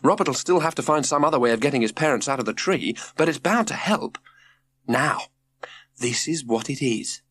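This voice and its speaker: A man voicing an excitable, opinionated young girl who likes to confide, in an audiobook. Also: mid-range voice, normal speed, slightly rough, voice pushed up. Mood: excited.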